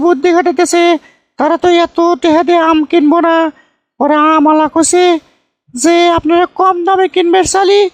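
Very shrill, creaky village auntie. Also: no room sound. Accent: northern Bangladeshi (Bogra). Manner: scolding, repetitive, folksy. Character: bossy scolding village aunt, boastfully foolish, middle-aged woman. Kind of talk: comic cartoon dialogue, rambling storytelling.

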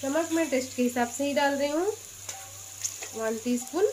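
A woman with a high, light voice talks through a recipe at her stove, chatty and patient as she explains each step. She is recorded in a home kitchen on a phone or camera. A faint noise floor sits under her voice, with frying and stirring sounds in the background. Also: normal speed, darkish tone. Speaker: cooking channel host. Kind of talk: step-by-step cooking instructions.

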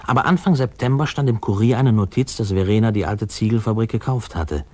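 An actor playing a grown man narrating memories of his boyhood in a 1950s German radio play, in a measured, wry way. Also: lowish voice, a little mellow, close to an everyday voice. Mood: wry, amused. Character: adult man wryly recalling his small-town boyhood.

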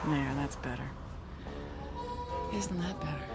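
An actress playing a headstrong, flirtatious Hollywood star in a radio drama, chatty, theatrical and teasing. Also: female voice, low-pitched, slow, loud music behind the voice. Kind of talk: modern radio drama acting.

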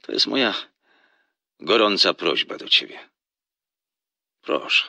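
A man's voice: low voice, very animated, takes it slowly, creaky, pushed and bright, no room sound, heavily acted. A man playing a secretive, earnest caller who pleads evasively. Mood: soft warning.

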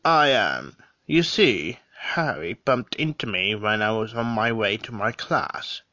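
A man acts a defensive, formal gentleman under questioning in an audio drama. His voice is bright and lively in pitch, earnest in delivery, recorded dry and a little dull. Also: takes it slowly, no echo, full character voice. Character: defensive, well-spoken gentleman murder suspect. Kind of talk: scripted audio drama acting.

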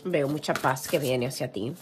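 Hoarse woman reading tarot cards. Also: very deep voice, moderate pace, noisy background. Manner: gentle, clears throat often, many fillers. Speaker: tarot reader. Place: indoor room with a tarot table. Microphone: camera over table, hands seen.

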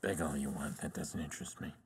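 An actor playing a grim, vengeful vigilante in a video game, speaking with deliberate menace. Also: male voice, a bass voice, level tone, a little mellow, slight background noise. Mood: cold. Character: grim vengeful male vigilante, grieving uncle. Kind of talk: video game cutscene acting.

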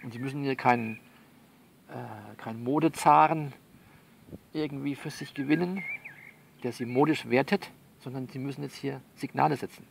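Guy with a creaky gravelly voice talking someone through stuff, bossy, repeats himself, bit of noise behind. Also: medium pitch, normal speed. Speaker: performer of his own audio novel. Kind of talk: improvised one-sided phone-call monologue, conversational.

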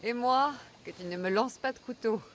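A woman's voice: a little high, normal speed, slight background noise, lightly acted. A woman voicing a tough mercenary warrior in a video game, cool, dry and self-assured. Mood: wry. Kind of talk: video game voice acting in French. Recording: game dialogue over loud music.

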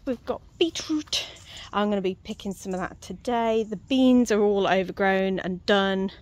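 A woman's voice: medium pitch, moderate pace, no room sound. A woman giving a casual, self-mocking tour of her allotment garden, recorded outdoors on a handheld phone. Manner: chatty, self-mocking, laughs easily. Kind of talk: casual walk-through chat. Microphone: handheld phone camera, speaker off-screen. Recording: faint outdoor ambience.

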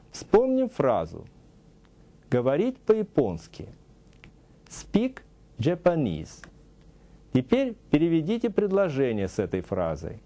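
A middle-aged man teaching a scripted TV language lesson, saying English example phrases slowly and deliberately, in a studio. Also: a little high, warm tone. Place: TV studio with a news-desk set.

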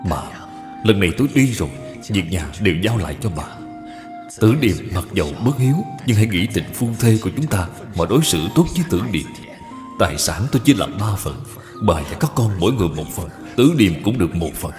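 A man's voice: low voice, lively intonation, fairly quick, over music. A man voicing a solemn, dying old father in a cartoon, entreating his family. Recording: long hall reverb.

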